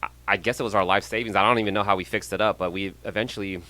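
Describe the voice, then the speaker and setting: A man's voice: lowish voice, fairly even intonation, pushed and bright. A man in his thirties from San Jose, California, a real estate agent talking casually as a podcast guest with plenty of ums. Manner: friendly, measured, with frequent ums and small laughs.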